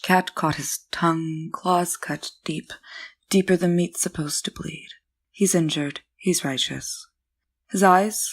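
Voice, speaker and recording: A woman reciting poetry in deliberate short phrases with measured pauses. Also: lowish voice. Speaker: poetry reader.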